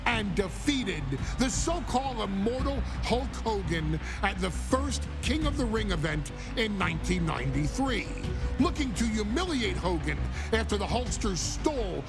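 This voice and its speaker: A man's voice: high-pitched, very animated, normal speed, vocal fry, over music. A man delivering dramatic, theatrical scripted narration that hypes a showcase. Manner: theatrical, hyping.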